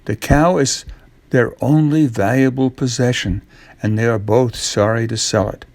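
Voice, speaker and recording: Slow-paced older male storyteller. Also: mid-range voice, fairly even intonation, darkish tone, no echo. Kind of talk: children's storybook narration.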